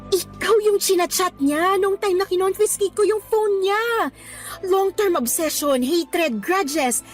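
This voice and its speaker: A woman's voice: very high voice, unhurried. A woman playing an earnest, outspoken young schoolteacher in a radio drama, speaking expressively and mixing in English phrases. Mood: indignant. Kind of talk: Tagalog radio drama acting. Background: faint background music.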